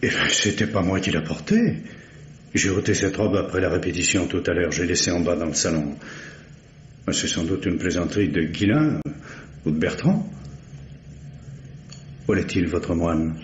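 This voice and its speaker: A muffled, echoey old radio drama with an actor as an aristocratic count. His voice is very low and expressively modulated, his manner refined and composed. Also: slight background noise, natural voice. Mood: puzzled. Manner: polite, refined, measured. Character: courteous, composed aristocratic count, mildly sceptical. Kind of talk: French radio play acting.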